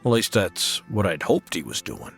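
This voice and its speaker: A man playing an easygoing, soft-spoken drifter cowboy who hesitates and stammers in a drawl. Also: deep voice, big pitch swings, normal speed, creaky, breathy edge, soft background music, full character voice. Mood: narrating. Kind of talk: old-time radio western.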